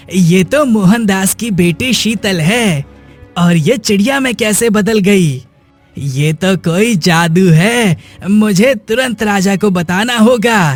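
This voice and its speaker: A man's voice: sits high, heavily acted. A man voicing a greedy, scheming villager talking to himself in a cartoon story. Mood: astonished. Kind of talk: Hindi cartoon moral story acting.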